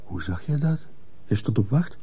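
A man playing a troubled schoolteacher in a radio drama, speaking with restraint and quiet insistence. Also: mid-range voice, lively intonation, moderate pace, breathy edge, dull audio. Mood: probing, insistent. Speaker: actor in a radio play. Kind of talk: Dutch-language radio drama acting.

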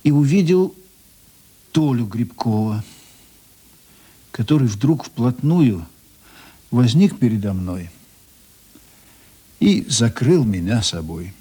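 An actor playing a reflective Soviet policeman who narrates in a radio drama, with a husky voice. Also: mid-range voice, very animated, slow pace, pauses often, dark tone, full character voice. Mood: tense, reflective. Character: reflective Soviet policeman recalling a night shootout.

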